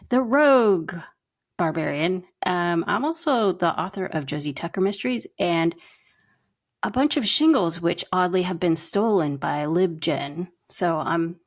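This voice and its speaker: A woman's voice: on the low side, animated, measured pace. A woman chatting casually and jokingly as an author on a podcast panel.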